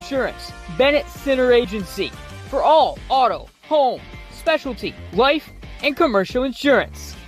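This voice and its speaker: A woman's voice: high voice, lively intonation, measured pace, faint music behind. A woman reading a scripted radio advertisement.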